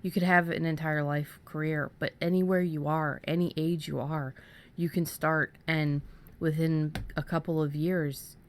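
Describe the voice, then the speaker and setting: A woman's voice: deep voice, fairly even intonation, unhurried. A woman co-hosting a podcast, giving casual, encouraging advice and repeating words for emphasis. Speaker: podcast co-host.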